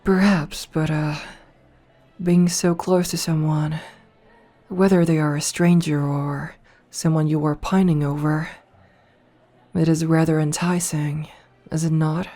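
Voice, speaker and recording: Woman as a low-voiced vampire princess: slow, breathy, condescending ASMR seduction. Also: level tone, soft background music. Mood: seductive. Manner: teasing, condescending, softly purring. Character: haughty seductive noble female vampire princess. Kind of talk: ASMR audio roleplay.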